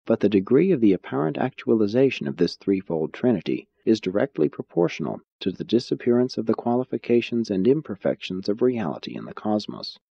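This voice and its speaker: A man reading an audiobook of philosophical religious text in a measured, solemn, deliberate way. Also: on the low side, creaky, mellow, breathy edge. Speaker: audiobook narrator. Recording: dull, muffled lo-fi audio.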